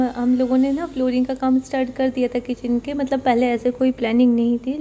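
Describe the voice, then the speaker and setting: A woman in her thirties narrating a casual home vlog about household chores, chatting evenly from a room in her apartment into a phone camera. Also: a little high. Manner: chatty, explains daily chores at an even pace. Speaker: vlogger. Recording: slightly dull phone audio with faint room noise.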